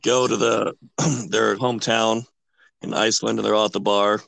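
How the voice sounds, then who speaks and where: A hoarse man chatting casually on a movie podcast, relaxed and often clearing his throat. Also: lowish voice, normal speed, no echo, low-quality recording. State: hoarse from illness. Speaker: podcast co-host.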